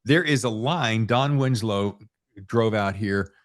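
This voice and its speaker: Male podcast host, lower register, folksy and enthusiastic, chatting informally with guests. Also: slow. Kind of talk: casual interview chat.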